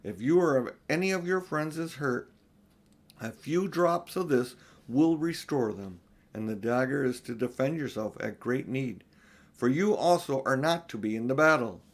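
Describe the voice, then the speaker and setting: A man playing a big, solemn, kindly old Father Christmas, speaking in measured, emphatic pronouncements for a storybook. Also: mid-range voice, animated. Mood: grave.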